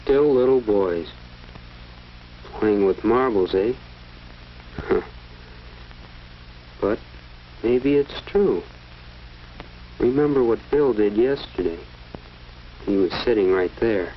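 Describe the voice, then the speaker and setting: Brooding schoolboy's inner voice, low, slow, musing, self-mocking, muffled vintage film soundtrack. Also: male voice, expressive. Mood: musing, wry. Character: brooding teenage schoolboy thinking to himself. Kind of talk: 1940s educational film inner monologue. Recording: muffled, noisy old 1940s film soundtrack.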